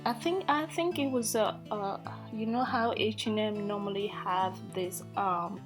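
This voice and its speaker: African woman vlogger, Norwegian-tinged accent, slow unhurried delivery, mid pitch, faint guitar music behind. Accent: African English with Norwegian influence. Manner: slow, hesitant, self-deprecating, many fillers. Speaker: beauty vlogger. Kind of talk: casual makeup tutorial.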